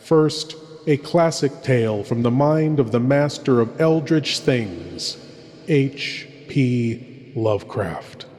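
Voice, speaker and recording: A man playing a sinister, courtly horror host, speaking in a hushed, deliberate, theatrical old-time radio manner with dramatic pauses. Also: medium pitch, animated. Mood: hushed, eerie relish. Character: sinister, courtly male horror host welcoming listeners into darkness. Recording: long hall reverb under music.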